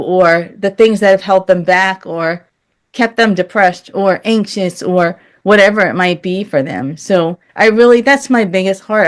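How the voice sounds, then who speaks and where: A woman speaking earnestly and candidly as an interview guest, in a conversational style. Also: mid-range voice.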